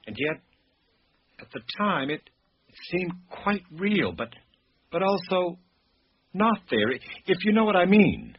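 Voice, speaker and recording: A man playing an anxious, erudite professor in a radio drama, speaking in formal, precise, halting sentences. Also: high-pitched, very animated, a little hiss or hum, heavily acted. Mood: haunted, hesitant. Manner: halting, formal, precise diction with hesitant self-corrections. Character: anxious, erudite male professor recounting eerie sightings. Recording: dull radio-like recording with music behind.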